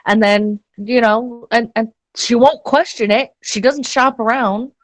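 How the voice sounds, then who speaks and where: A woman's voice: fairly high pitch, unhurried, no echo. A woman chatting casually with friends, laughing often and trying out silly phrases. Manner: giggly, puts on mock Spanish phrases. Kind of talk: casual banter.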